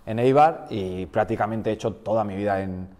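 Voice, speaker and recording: A man's voice: fairly low pitch, normal speed, long reverb tail. A man in his twenties, raised in the Basque Country, talking casually as an interview guest with many restarts, speaking Spanish with a slight Basque accent. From Portugal, raised in the Basque Country. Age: 20s. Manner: relaxed, frequent restarts and fillers. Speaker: guest footballer.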